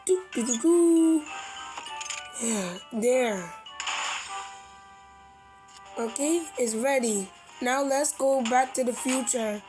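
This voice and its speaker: High, childlike amateur voicing playful cartoon animals at a very slow pace, music behind. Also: poor audio. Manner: playful, improvised, with exclamations and sing-song filler. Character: cartoon animal characters, eager and easily alarmed. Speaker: fan dubber voicing every cartoon character. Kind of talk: amateur improvised cartoon voice-over.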